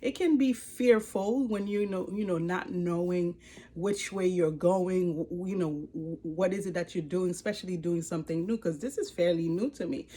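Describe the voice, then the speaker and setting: A woman in her forties with a Haitian accent, giving an earnest, expressive personal testimony to camera. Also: lowish voice, normal speed. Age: 40s. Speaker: life coach and ministry leader. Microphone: speaking to a camera.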